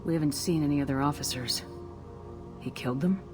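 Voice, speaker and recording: A cool-headed female commander played by an actress in a video game. She is clipped, probing and matter-of-fact, with loud music behind her. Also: low-pitched, brisk, close to an everyday voice. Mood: cool, questioning. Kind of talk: scripted video game dialogue.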